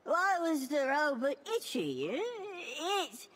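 A woman's voice: sits high, sing-song, slow pace, fairly bright tone, heavily acted. A woman voicing a drunken, rowdy, goofy female junkyard robot for a video game, with slurred, cheeky, playful delivery. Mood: tipsy joking. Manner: tipsy, playful, jokey. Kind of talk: video game character voice pack.

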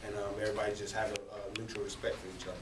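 Low, flat-toned young man, roomy and noisy. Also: racing. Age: early twenties. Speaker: college basketball player. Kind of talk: press conference answers. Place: indoor press conference room. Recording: roomy, distant, noisy press room recording.

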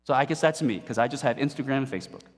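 A man in his forties preaching a sermon from a pulpit, animated and playful, asking the congregation questions. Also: medium pitch, very fast. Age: 40s. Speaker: pastor. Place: church stage at a pulpit, indoors. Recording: long reverb of a hall.

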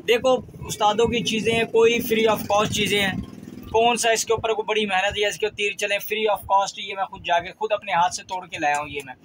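High-pitched Pakistani man over background noise. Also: steady tone, normal speed, fairly bright tone, low-quality recording. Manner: repeats phrases for emphasis. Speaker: fishing channel host. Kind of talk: casual instructional talk to viewers.

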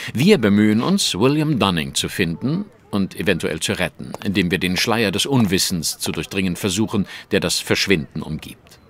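An actor playing a shrewd, brooding master detective in a German audio drama, speaking in a measured, articulate, formal way. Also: male voice, lowish voice, a bit bright.

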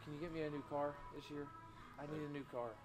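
A man's voice: on the high side, level tone, fast talker, background noise. A young American man chatting casually and jokingly to camera with playful, boastful slang. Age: young adult. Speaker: vlogger. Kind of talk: casual joking vlog chat. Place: mostly inside a large party store.

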